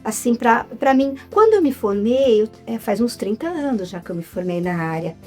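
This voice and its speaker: A Brazilian woman in her fifties tells her story in a relaxed interview. Her voice sits a little high and stays animated. Soft music plays in the background. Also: normal speed. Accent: Brazilian Portuguese. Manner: lively, addresses the audience as "gente". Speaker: interview guest, actress. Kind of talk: casual interview chat.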